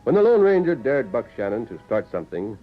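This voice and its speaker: A male announcer narrates in dramatic 1940s radio style, with lively, animated pitch. Music plays behind him and the broadcast sound is tinny and narrow. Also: brisk.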